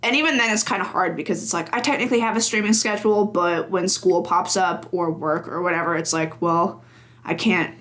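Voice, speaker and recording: A woman's voice: fairly low pitch, a little fast, fairly bright tone. A woman in her early twenties, a podcast guest chatting casually and rambling, laughing often between her thoughts. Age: early 20s. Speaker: podcast guest, games journalist. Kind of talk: casual chat.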